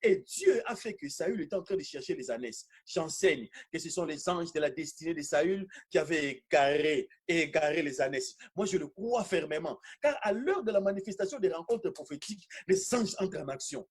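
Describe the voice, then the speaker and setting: High-pitched husky male preacher, very animated. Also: normal speed, few pauses. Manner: emphatic, repeating phrases for effect. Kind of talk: animated charismatic teaching sermon.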